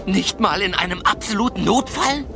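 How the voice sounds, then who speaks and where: A man playing an excitable, wily talking raven in a children's radio play, animated and exclamatory. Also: sits high, normal speed, creaky, music in the background. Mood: earnest.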